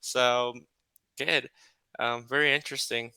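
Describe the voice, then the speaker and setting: A man from California, an English tutor chatting on a video call in a relaxed, friendly way with many pauses and fillers. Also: medium pitch, gravelly, clean tone, dry recording. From California, United States. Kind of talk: casual video-call conversation.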